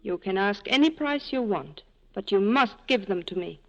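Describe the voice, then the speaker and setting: A woman's voice: mid-range voice, lively intonation, normal speed, lo-fi sound, close to an everyday voice. An actress playing a torn, desperate woman pleading with a former lover, in earnest 1940s radio drama style on an old broadcast recording.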